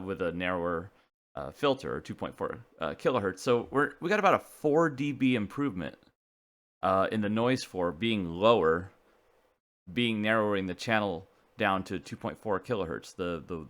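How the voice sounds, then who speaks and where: A man with a fairly low voice presents an informal technical explainer. His delivery is casual and halting, as if working things out aloud while he goes. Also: fairly bright tone. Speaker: presenter of a CB radio technical video.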